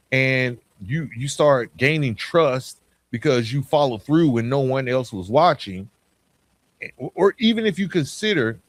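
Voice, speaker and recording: A man hosting a podcast, speaking to listeners in an emphatic, conversational motivational monologue. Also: a little high. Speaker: podcast host.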